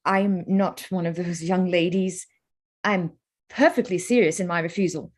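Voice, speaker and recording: An actress playing a spirited young gentlewoman in a staged reading of a period drama, polite, formal and firm. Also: fairly low pitch, steady tone, moderate pace, close to an everyday voice. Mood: firm, exasperated. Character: spirited, frank, self-possessed young gentlewoman.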